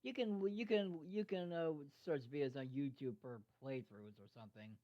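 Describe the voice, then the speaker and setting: An amateur reader narrating a fan fiction story aloud in a halting way, stumbling over words and repeating phrases. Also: medium pitch, warm tone. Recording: dull lo-fi microphone sound.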